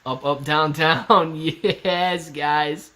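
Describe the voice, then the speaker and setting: Chatty man in his thirties, higher voice. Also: steady tone, very slow, pushed and bright, a little hiss or hum. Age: 30s. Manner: laid-back and chatty, laughs often, reads chat aloud. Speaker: stock livestream host. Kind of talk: casual live-stream chat reading viewer comments. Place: sitting in his living room. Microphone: laptop on a kitchen stool at eye level.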